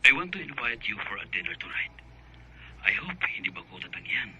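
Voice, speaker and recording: An actor playing a smooth, pushy, wealthy married man courting someone in a radio drama, coaxing in a Filipino accent. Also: mid-range voice, big pitch swings, fairly quick, bright, forceful tone, muffled recording, a little hiss or hum. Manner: coaxing, switches between English and Tagalog.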